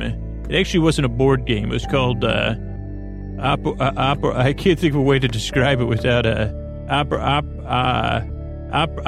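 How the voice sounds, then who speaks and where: Creaky-voiced man rambling through a bedtime podcast. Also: mid-range voice. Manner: meandering, halting, repeats and interrupts himself. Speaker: podcast host.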